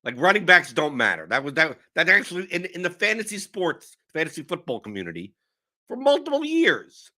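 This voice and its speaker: A young man, hoarse with a sore throat, hosting a casual fantasy sports livestream, rambling and cutting himself off. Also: a little high, brisk. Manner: animated, rambling, self-interrupting. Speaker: host of a fantasy sports stream.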